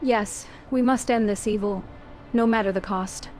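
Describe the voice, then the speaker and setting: A woman's voice: mid-range voice, unhurried, music in the background, natural voice. A woman voicing a composed young female warrior hero in a fan-voiced video game. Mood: calm. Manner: calm, direct, questioning.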